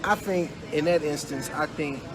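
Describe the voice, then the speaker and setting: A man with a fairly high voice hosts a loose, friendly sit-down interview. He chats casually, joking and nodding along as his guest talks. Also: normal speed, background noise. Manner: laid-back, joking, agreeable. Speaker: interview host.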